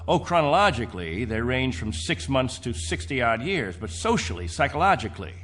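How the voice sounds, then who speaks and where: An actor playing a proud middle-aged leader of space colonists in a radio drama, formal, insistent and commanding. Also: male voice, mid-range voice, big pitch swings, moderate pace, a bit bright, faint music behind. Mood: firm, possessive.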